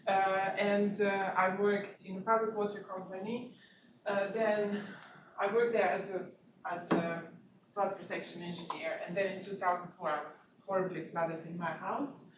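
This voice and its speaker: A woman presents an academic seminar, speaking in a mid-range voice and often pausing on fillers as she restarts her phrasing. She is recorded from a distance in an echoey seminar room, with clear noise underneath. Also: mid-range voice, fairly even intonation. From Bosnia. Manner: frequent fillers and restarts, slightly non-native phrasing. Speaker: research fellow giving a seminar talk.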